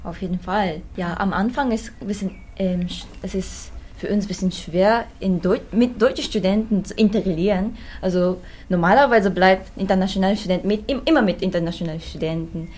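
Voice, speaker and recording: A woman's voice: medium pitch, fairly quick, few pauses, a little mellow. A young woman from Japan with a Japanese accent, an exchange student answering interview questions with hesitant fillers. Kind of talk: interview answers in learner German.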